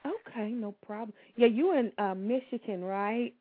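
A woman's voice: on the high side, a little hiss or hum. Chatty woman hosting over a tinny phone line. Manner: chatty, laughs easily, slows to read out numbers. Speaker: radio talk show host. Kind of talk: casual call-in radio chat.

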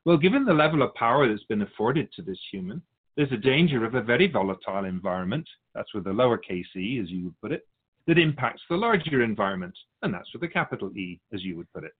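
A man as a patient, lively-pitched otherworldly spirit teacher. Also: breathy edge, phone-quality sound. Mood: grave, instructive. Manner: measured, didactic, formal phrasing. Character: the Keeper, otherworldly male spirit guide, patient, lofty teacher. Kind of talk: scripted dialogue in a fiction podcast.

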